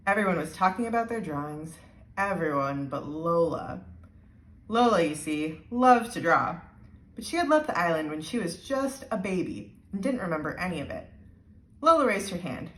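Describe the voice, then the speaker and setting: A woman reading a children's storybook aloud with deliberate, expressive emphasis. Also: lowish voice. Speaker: storybook reader. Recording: roomy, echoey sound.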